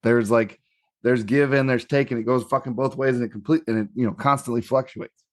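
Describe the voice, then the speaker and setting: A man's voice: medium pitch, fairly even intonation, a little fast, dry recording, clean studio sound. A middle-aged man chatting casually as a podcast co-host, slangy and heavily profane. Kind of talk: casual chat.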